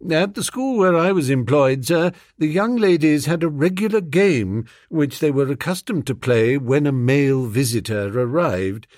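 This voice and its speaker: A man voicing a discreet, deferential English valet in an audiobook, formal, measured and deadpan. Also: fairly high pitch, no room sound, studio quality, close to an everyday voice. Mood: dryly informative.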